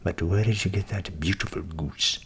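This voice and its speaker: A man's voice: sits low, fairly even intonation, normal speed, a bit bright, slightly rough, pitched below the performer's own voice, heavily acted. A man voicing a blithe, wily storybook scissor grinder who talks persuasively. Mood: curious.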